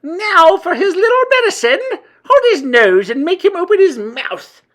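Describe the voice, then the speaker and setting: Male reader as storybook witch queen: shrill, loud, cruel, imperious, barking orders with wild swoops. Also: very animated, a little slow, full character voice. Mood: gloating, cruel. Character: shrieking, triumphant, cruel female witch leader, commanding. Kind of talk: dramatised children's storybook reading.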